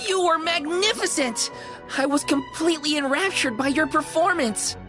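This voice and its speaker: A woman's voice: high-pitched, moderate pace, over music, natural voice. A woman voicing a polite, effusive living stuffed toy who works as a concierge in a video game. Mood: enraptured, theatrical. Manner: courteous, theatrical, effusive. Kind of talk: scripted video game character acting.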